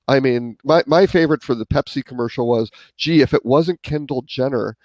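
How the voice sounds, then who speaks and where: A man's voice: medium pitch, darkish tone, no echo, dull audio. A man co-hosting a live marketing show, chatting casually and thinking aloud with fillers. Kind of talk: casual live-stream discussion.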